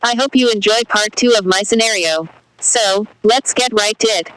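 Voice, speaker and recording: A woman playing a brisk, official emergency alert announcer, reading a scripted warning. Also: mid-range voice, background noise, lightly acted. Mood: formal.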